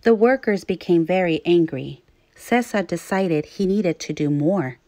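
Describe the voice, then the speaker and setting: A woman teacher reading aloud to young children, phrasing things clearly and putting questions to her listeners. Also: lowish voice, unhurried, dark tone, no room sound. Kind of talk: children's read-aloud narration.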